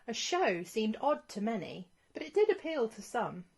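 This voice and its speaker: A woman reading a rhyming children's storybook as scripted narration, in an expressive storytelling manner. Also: on the high side, moderate pace, gravelly.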